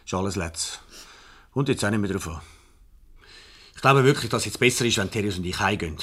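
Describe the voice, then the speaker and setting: An actor with a Swiss German accent playing an impatient, defensive husband in a radio comedy. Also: male voice, on the low side, expressive, fairly quick, a bit bright, slightly rough. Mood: resigned, firm. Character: exasperated, defensive husband of a jealous wife. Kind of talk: 1970s radio comedy acting.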